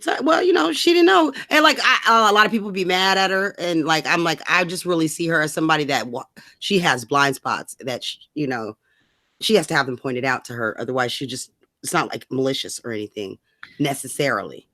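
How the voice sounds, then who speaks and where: An American woman talks politics casually as a podcast co-host, blunt and slangy with plenty of swearing. Her voice is bright and forceful, captured in a very dry space. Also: low voice, fairly quick, no echo.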